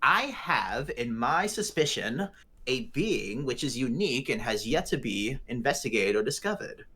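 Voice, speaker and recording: A man's voice: mid-range voice, sing-song, normal speed, pushed and bright, husky, heavily acted. A man playing a small, polite adventurer in a tabletop game, with formal, mannered phrasing. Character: small, polite, chipmunk-like adventurer seeking help.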